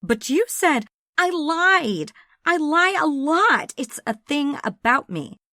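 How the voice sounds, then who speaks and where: A woman's voice: high-pitched, big pitch swings, slow, pushed and bright, dry recording, studio quality, pitched above the performer's own voice, heavily acted. A woman voicing a brash, flippant, habitually lying woman with a blunt, emphatic manner in an audiobook. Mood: defensive, flippant. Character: brash, indignant gun-waving woman, a habitual liar.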